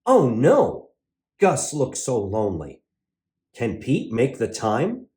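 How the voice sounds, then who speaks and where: A man's voice: medium pitch, huge pitch swings, slow pace, darkish tone. A man hosting a children's read-aloud, exuberant and exclamatory, drawing words out for emphasis.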